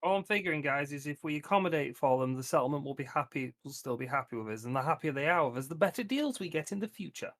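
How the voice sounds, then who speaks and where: A man's voice: fairly high pitch, animated, quick pace, few pauses, a bit bright, no room sound, clean studio sound. A man playing a tabletop roleplaying game, chatting casually in a blunt, sweary way. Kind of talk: casual group banter.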